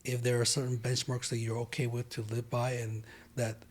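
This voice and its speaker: A man from Taiwan, a law firm owner guesting on a podcast in a studio, talking in a steady, plain-spoken way. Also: medium pitch, normal speed. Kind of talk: casual interview chat.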